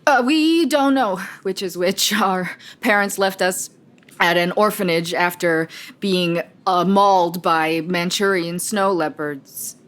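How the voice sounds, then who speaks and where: A woman's voice: on the low side, slow pace, a bit bright. An actress playing an exasperated young woman traveler who bluffs glibly and placatingly in a comic audio play. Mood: glib bluffing. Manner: placating, glib, wry. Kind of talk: comedic audio drama acting.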